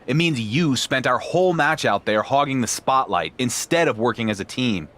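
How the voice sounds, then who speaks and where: An actor playing a proud, sarcastic wrestling champion with a dry manner in a video game cutscene. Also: male voice, mid-range voice, animated, normal speed, fairly bright tone, close to an everyday voice. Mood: resentful. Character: wry, proud male pro wrestling champion.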